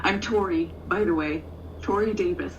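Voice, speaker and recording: A woman's voice: on the low side, normal speed. A woman playing a tender, middle-aged mother on a phone call in an audio drama, hesitant and motherly. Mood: eager, hesitant. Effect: phone line. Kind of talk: naturalistic audio drama acting. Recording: distant muffled phone, faint noise.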